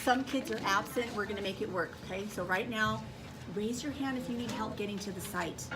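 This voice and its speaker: A woman's voice: medium pitch, a little fast. A woman school teacher giving simple, directive step-by-step instructions to a class. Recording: noisy classroom full of children's chatter. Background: children talking and reading aloud.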